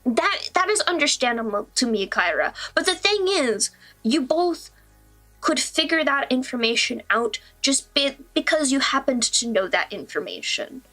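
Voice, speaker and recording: A woman's voice: high-pitched, very animated, sharp tone, soft background music, low-quality recording. A young woman streamer from the American Midwest with a mostly neutral American accent and traces of Southern-Midwestern. She chats casually and rambles a bit, teasing herself and laughing often. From Midwestern United States. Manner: rambling, chatty, self-deprecating, quick to laugh. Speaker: streamer and digital artist. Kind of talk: casual livestream chat.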